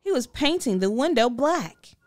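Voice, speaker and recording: A woman reading a children's book aloud with expressive, deliberate storytelling. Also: high-pitched, no echo, soft background music. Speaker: storybook reader. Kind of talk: children's read-aloud narration.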